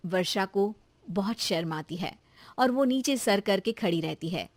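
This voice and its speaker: A woman's voice: mid-range voice, lively intonation, unhurried, darkish tone, dry recording. A woman reading a scripted story as its narrator.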